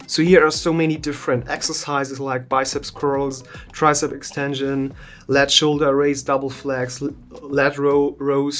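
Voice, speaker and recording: A young man talks through an unboxing at his desk in a small home studio. His pitch stays level and even, sitting in an ordinary middle register. The phrasing is loose and chatty, full of fillers. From Germany. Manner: informal, loose non-native phrasing with fillers. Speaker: tech channel host. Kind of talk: casual product unboxing.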